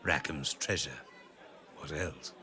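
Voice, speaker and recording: An actor playing a vain, scheming, rakish captain in a period audio drama, with a pushy, mocking, theatrical manner. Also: male voice, rumbling low, slow pace, sharp tone, some chatter behind, exaggerated voice.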